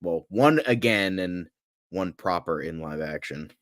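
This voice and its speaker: A man's voice: low-pitched, lively intonation, unhurried, fairly bright tone, no echo, clean studio sound. A man co-hosting a podcast, chatting like a fan in an enthusiastic, rambling way and repeating himself. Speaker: podcast co-host. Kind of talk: casual fan chat.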